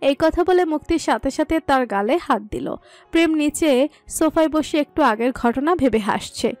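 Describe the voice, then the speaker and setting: A woman's voice: high voice, animated, moderate pace, darkish tone. A woman reading scripted narration of a romantic story as its storyteller. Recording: music under the voice.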